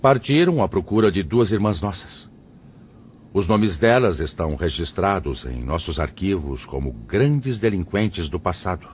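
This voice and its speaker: A man's voice: sits low, sing-song, a little slow. A man playing a kindly, solemn spirit master in a Brazilian audio drama, speaking formally and paternally with a Brazilian accent. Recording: muffled, noticeable background hiss.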